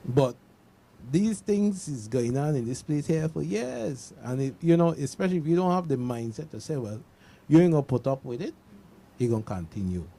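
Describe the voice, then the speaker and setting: A man's voice: on the high side, animated, moderate pace, creaky, warm tone. A man with a Caribbean accent talking casually on a panel, emphatic and often repeating himself to make his point. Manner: emphatic, repeats himself, checks listeners understand. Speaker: panel discussion participant. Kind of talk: casual panel talk with personal anecdotes.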